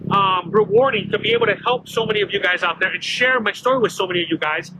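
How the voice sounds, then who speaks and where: A man's voice: high-pitched, fast talker, background noise. A middle-aged man from Miami telling his story in a casual walk-and-talk interview, full of fillers and restarts, recorded outdoors on a city street. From Miami, Florida. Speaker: YouTuber guest.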